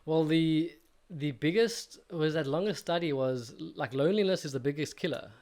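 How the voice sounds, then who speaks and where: A man's voice: fairly high pitch, moderate pace, darkish tone. A man hosting a podcast, chatting in an eager, agreeable, slangy way. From South Africa. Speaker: podcast host. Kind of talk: casual conversation.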